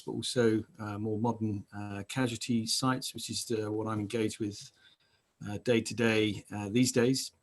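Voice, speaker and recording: Relaxed man introducing himself on a call. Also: mid-range voice. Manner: relaxed, many fillers. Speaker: panelist. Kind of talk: self-introduction on a webinar. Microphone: video call.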